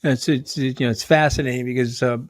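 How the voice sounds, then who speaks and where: A man's voice: medium pitch, fairly even intonation, fairly quick, dry recording. Host, middle-aged man, blunt and jokey, dry close recording. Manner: blunt, joking, informal banter. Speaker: podcast host. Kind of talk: casual interview questions.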